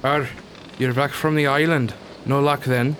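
A man acts a subdued ship's officer in a radio play. His medium-pitched voice is formal and grim, under clear noise. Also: fairly even intonation, measured pace, fairly bright tone, lightly acted. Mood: guarded. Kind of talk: pirate radio drama acting.

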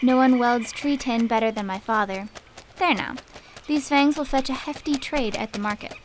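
An actress playing a bold, shrewd young warrior woman in a radio play, confident and brisk in manner. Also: a little high, slight background noise, natural voice, rough recording. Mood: proud. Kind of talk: theatrical radio drama acting.

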